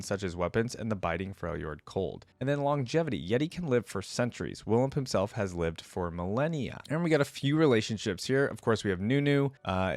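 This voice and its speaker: A man with a fairly low voice hosts a casual reaction video. He reads wiki-style text aloud and slips in easygoing comments of his own. The sound is dry and close to the mic. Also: no echo. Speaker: host of a game lore reaction channel.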